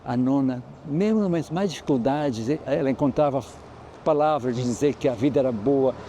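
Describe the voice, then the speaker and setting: A man's voice: medium pitch, normal speed, dark tone, slight background noise. A Brazilian man from guiding a visitor through a historic house, explaining its history in a rambling way with many restarts. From Brazil, Espírito Santo. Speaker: local historian guide. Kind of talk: on-site interview, explaining history.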